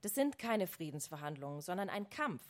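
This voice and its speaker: A woman's voice: lowish voice, very animated, a little fast. A woman reading aloud as a firm, militant woman friend from a novel, urging with insistent emphasis.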